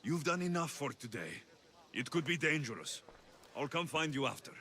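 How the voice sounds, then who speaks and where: An actor voicing a confident, protective mercenary in a video game, firm and direct. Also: male voice, on the high side, expressive, moderate pace, pushed and bright. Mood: firm, instructing. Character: confident Greek mercenary man, protective, blunt, calm. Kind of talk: video game cutscene acting. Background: background music.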